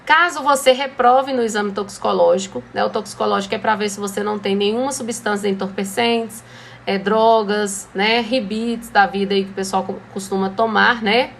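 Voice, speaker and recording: A Brazilian woman teaching a driving school lesson, explaining rules in a relaxed, conversational way and often checking in with her students. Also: medium pitch, normal speed, creaky, slight background noise. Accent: Brazilian Portuguese. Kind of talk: classroom lesson.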